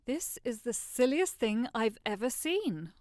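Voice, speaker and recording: Woman acting sardonic noble bride, animated voice. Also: fairly high pitch, a little slow, no echo, studio quality. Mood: bored, dismissive. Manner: sardonic, dismissive. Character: bored, mocking noble bride. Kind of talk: modernized Shakespeare audio drama comedy.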